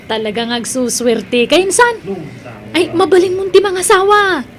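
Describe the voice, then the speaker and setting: A woman acting a chatty, prying cousin in an Ilocano comedy drama. Her voice is very high, with an Ilocano accent and an inquisitive family-gossip manner. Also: measured pace, a bit bright. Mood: teasing.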